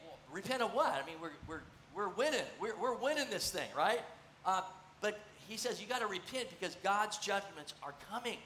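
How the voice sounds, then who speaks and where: A middle-aged man preaching a casual, conversational sermon from a church stage, animated and gesturing as he teaches. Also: high voice. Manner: animated, conversational, gesturing while seated on a stool. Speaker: pastor. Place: church stage, large room. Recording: echoey church room, faint noise.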